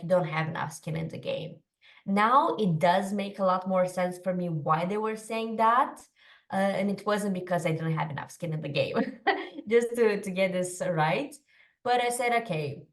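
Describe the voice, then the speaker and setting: A woman in her thirties from Romania, a podcast guest telling her story in a quick, chatty way with lots of fillers. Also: mid-range voice, distant mic. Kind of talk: casual interview storytelling.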